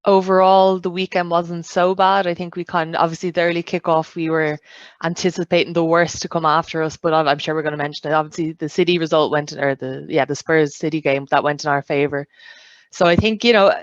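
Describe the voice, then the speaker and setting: A woman with a smooth, clear voice reflects on football in a measured, casual way. The sound is dry and close, though slightly dull. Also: deep voice, fairly even intonation, dry recording. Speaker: podcast panellist.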